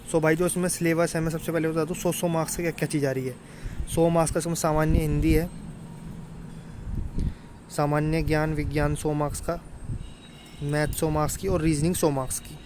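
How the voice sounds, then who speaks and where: A man's voice: on the high side, flat delivery, brisk, long pauses, noisy background. A young man informally explaining to students as an exam tutor, colloquial and often checking that they follow. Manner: colloquial, repeatedly addresses listeners as brother, checks understanding.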